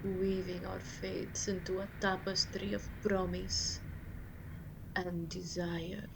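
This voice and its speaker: Ancient vampire queen, low-pitched, very slow, breathy and often whispered, put-on accent, seductive, imperious, ASMR roleplay, noticeable ambient noise behind. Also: flat delivery. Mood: intense, seductive. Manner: slow, deliberate, formal and archaic phrasing. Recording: clear background ambience noise.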